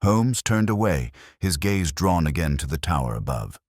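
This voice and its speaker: A man giving a dramatic scripted first-person story narration in a measured, solemn, literary manner. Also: low-pitched, sing-song, dry recording, studio quality.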